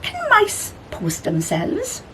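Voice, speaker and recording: A woman's voice: on the low side, wildly swooping pitch, slow pace, fairly bright tone, slight background noise, heavily acted. A woman voicing an anxious, urgent female cat in a children's storybook, with a mewing delivery. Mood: puzzled. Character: anxious, urgent female furry animal lookout.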